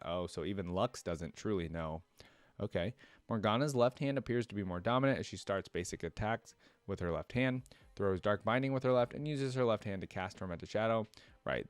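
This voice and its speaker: Man, lowish pitch, casual fan commentary, informal asides, reaction host, dry close recording. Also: dry recording. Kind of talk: casual reaction commentary, reading aloud and remarking.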